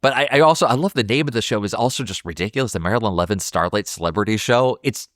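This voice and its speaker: A man co-hosting a film podcast, chatting casually in an amused, emphatic and slightly halting way. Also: lowish voice, animated, fairly bright tone, dry recording, clean studio sound. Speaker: podcast co-host. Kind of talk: casual film discussion chat.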